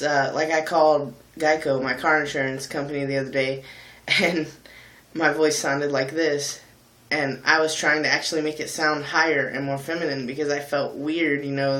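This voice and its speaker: A man's voice: on the high side, fairly bright tone. Candid man, light voice, echoey room. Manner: candid, thoughtful, many ums and pauses. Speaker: vlogger and singer. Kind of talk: casual reflective vlog talk.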